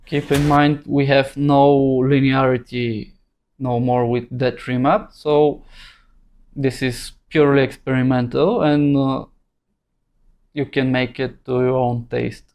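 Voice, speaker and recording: Slow, halting male tutorial instructor. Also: mid-range voice, flat delivery, a little mellow, a little hiss or hum. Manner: halting, thinking aloud in short phrases. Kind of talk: unscripted screen-recorded tutorial narration.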